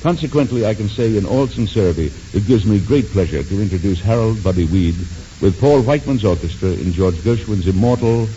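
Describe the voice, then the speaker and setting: A muffled 1940s radio recording with faint hiss carries a man's very dark, lowish voice. He introduces a concert formally, in stately, measured wording. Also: animated. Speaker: concert announcer.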